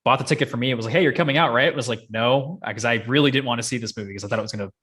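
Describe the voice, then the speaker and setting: A man's voice: medium pitch, rapid-fire, a bit bright, breathy edge. A man co-hosting a podcast, chatting casually about entertainment with joking, sardonic asides. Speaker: podcast co-host. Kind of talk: casual movie discussion.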